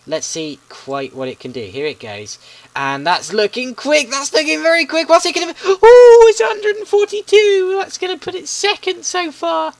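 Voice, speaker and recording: A man's voice: very high-pitched, animated, normal speed, vocal fry, dry recording, low-quality recording. A teenage boy in secondary school giving chatty, polite play-by-play commentary on a video game, often stumbling over his words. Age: teens.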